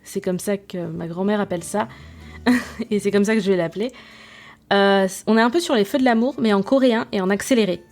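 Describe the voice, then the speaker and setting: A woman's voice: medium pitch, brisk, a little mellow. A young woman hosting a podcast, reviewing a series in a casual, self-mocking way with many fillers and restarts. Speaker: podcast host.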